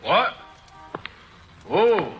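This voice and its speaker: An actor playing a rough-spoken, surly street tough in old radio drama, protesting in a whiny, ungrammatical way. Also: male voice, sits high, sing-song, very slow, muffled recording, faint music behind, full character voice. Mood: cornered. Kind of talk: 1940s radio acting.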